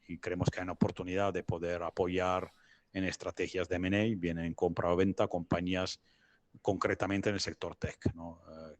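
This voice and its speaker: A middle-aged man from Italy with a low-pitched voice, recorded dry and close. He speaks Spanish as a non-native, explaining things conversationally as a guest, often halting and repeating words as he searches for the next one. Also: no room sound. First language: not Spanish. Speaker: interview guest, business advisor.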